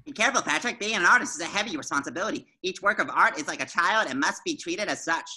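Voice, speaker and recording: A woman voicing an excitable, naive young male cartoon sea sponge in an eager, theatrical way at a table read. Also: lowish voice, fast, breathy edge, full character voice, low-quality recording. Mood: playful.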